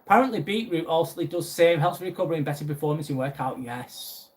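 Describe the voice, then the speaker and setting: A man's voice: fairly high pitch, animated, fast. A young man with a Lancashire accent hosting a casual live stream, reading out viewer comments and chatting back. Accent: Lancashire English. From northwest England. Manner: chatty, reads out viewer messages and answers them.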